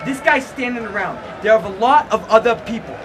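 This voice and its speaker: An actor playing an edgy young lightweight boxer with an American accent, terse and evasive, in 1940s radio style. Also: male voice, extremely high pitch, animated, a little fast, a crowd in the background, background noise. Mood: guarded, irritated.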